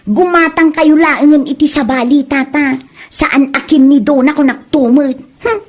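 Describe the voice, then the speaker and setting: A woman performs a nosy, spiteful gossip with a high voice and an Ilocano accent. The delivery is that of a comedy drama. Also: fairly even intonation, moderate pace, close to an everyday voice. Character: spiteful gossiping woman who badmouths a neighbour's goods.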